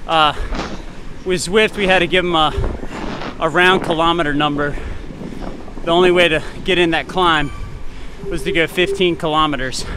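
A man's voice: on the high side, noisy background. A man leading a group ride over voice chat, explaining the route and keeping the chatter in line. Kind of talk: casual voice chat during online ride.

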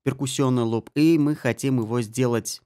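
A man giving a spoken software tutorial as an audio mixing tutor, explaining settings step by step. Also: medium pitch, fairly even intonation, moderate pace. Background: music and guitar samples.

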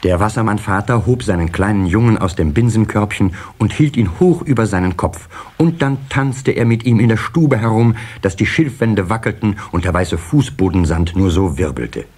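A man narrating a children's fairy tale as a measured, expressive storyteller in an audio play. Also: on the low side, husky. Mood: storytelling.

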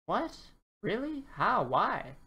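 A woman acts out an excitable male dinosaur in a children's storybook. He exclaims and questions with wide-eyed confusion, in a raised, swooping voice. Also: medium pitch, slow pace, husky, pitched above the performer's own voice, exaggerated voice. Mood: confused.

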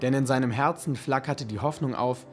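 A man narrating a radio play as a scripted, literary story in a measured, dramatic manner. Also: mid-range voice, darkish tone. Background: music.

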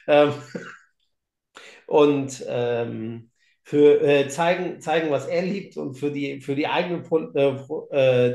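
A man's voice: medium pitch, a little mellow. A man chatting casually on a podcast, enthusiastic and stumbling over his words. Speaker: podcast panelist. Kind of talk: casual chat. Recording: roomy, echoing sound.

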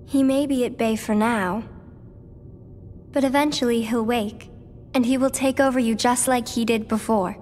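A woman playing a gentle, solemn teenage girl in a video game cutscene, speaking with measured care. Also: fairly high pitch, steady tone, close to an everyday voice. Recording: reverberant hall, faint background noise.

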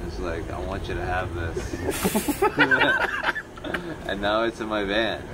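Deadpan man in a noisy backyard. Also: deep voice, slow pace. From Seattle area. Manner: deadpan, self-mocking, slips into quoted voices. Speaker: comedian guest. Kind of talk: casual chat. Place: backyard, outdoors.

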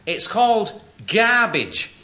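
An actor playing an earnest, anti-bourgeois bohemian playwright in a radio sitcom, speaking colloquially with lots of filler phrases. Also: male voice, high voice, big pitch swings, slow, sharp tone, a little hiss or hum, exaggerated voice. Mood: earnest, defiant. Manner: colloquial, peppers speech with "like, you know". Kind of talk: classic British radio comedy acting.

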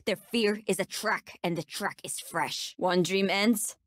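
An actress with a Turkish accent voicing a menacing, sardonic nightmare-wielding bounty hunter in a video game, speaking with cool, ominous deliberation. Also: female voice, mid-range voice, unhurried, no echo, studio quality, natural voice. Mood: determined, menacing. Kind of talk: video game character voice lines.

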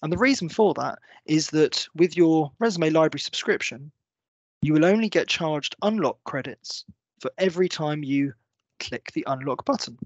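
A man in his thirties with a slightly high voice narrates a screen-share tutorial. He explains each step in an orderly, instructional way. The sound is close and dry, a little dull, as if from a computer mic in a small room. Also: lively intonation, moderate pace, dry recording. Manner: clear, step-by-step explanatory delivery. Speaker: company presenter giving a software walkthrough.

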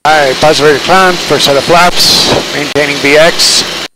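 A man's voice: a little high, fairly even intonation, moderate pace. A middle-aged man narrating his own flight from the cockpit of a small plane, talking through each step with frequent fillers, over a headset mic. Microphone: aviation headset boom mic. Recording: engine noise in the background.

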